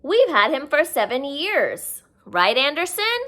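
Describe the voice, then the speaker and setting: A woman voicing a firm, assured female neighbour in a children's storybook, gently correcting. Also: sits high, very animated, a little slow, voice pushed up, heavily acted. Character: firm adult woman, a neighbour cat owner.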